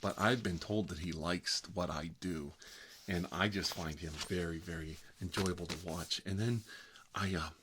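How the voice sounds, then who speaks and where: A man's voice: low voice, steady tone, moderate pace, noisy background, close to an everyday voice. A man playing a fussy, gossipy fellow who lives with his mother, rambling and repeating himself in an ASMR comedy role-play. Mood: coy, gossipy. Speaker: ASMR channel host. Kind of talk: ASMR comedy role-play, one-sided chat with a visitor.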